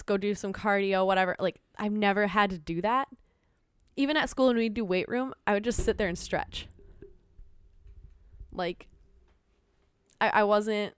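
A woman's voice: medium pitch, clean tone, no echo, clean studio sound. A young woman from Minnesota with a slight Minnesotan accent, chatting casually on a podcast and acting out quotes as she tells stories. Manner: animated storytelling, acts out quotes, laughs often. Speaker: podcast guest. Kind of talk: casual chat.

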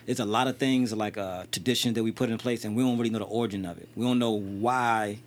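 A man's voice: fairly low pitch, steady tone, fast. A man in his thirties hosting a podcast in casual conversation, often asking "you know what I mean". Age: 30s. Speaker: podcast host.

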